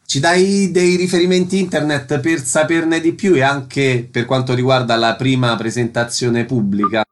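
A man's voice: mid-range voice, fairly even intonation, moderate pace. Man, mid-range voice, radio interviewer, prepared questions and announcements.

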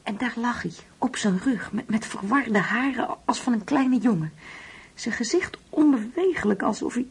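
Husky, wistful ageing mother. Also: fairly high pitch, animated, moderate pace, full character voice. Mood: uneasy, brooding. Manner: hesitant, brooding, hushed at times. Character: wistful, uneasy ageing mother grieving an absent son. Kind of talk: Dutch radio play acting.

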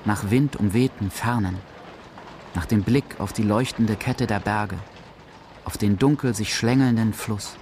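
Low-voiced man narrating. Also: noisy background. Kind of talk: literary radio drama narration.

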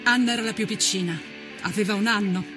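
A man narrating a scripted historical account for radio as a storyteller, in a solemn, measured, declamatory manner. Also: sits high, music in the background.

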